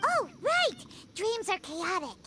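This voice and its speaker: A woman voicing a tiny childlike fairy companion in an anime game, squeaky, exclamatory and easily confused. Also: big pitch swings, slow pace, background noise, heavily acted. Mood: eager. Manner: exclamatory, refers to herself in third person.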